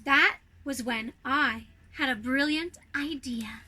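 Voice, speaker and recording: A woman reading a storybook to children as a snow queen, expressive and speaking directly to her young listeners. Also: high voice, takes it slowly.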